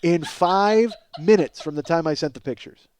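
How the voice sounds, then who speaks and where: A man's voice: a little high, normal speed, darkish tone, clean tone, no room sound, clean studio sound. A middle-aged man hosting a comedy podcast in a casual, rambling monologue, swearing freely and poking fun at himself. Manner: profane, self-deprecating, exclamatory.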